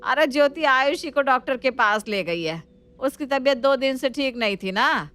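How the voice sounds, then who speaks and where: A woman's voice: sits high, lively intonation, normal speed, a bit bright, clean tone. A woman playing an affectionate, effusive older mother-in-law in a family story. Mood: casual concern. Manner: homely, effusive.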